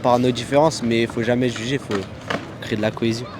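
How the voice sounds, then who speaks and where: A young man with a mid-range voice answers earnestly out on the street, stopping to search for the right words. Loud traffic surrounds him. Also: medium pitch, fairly even intonation, fast. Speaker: passer-by interviewee. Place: busy city square outdoors.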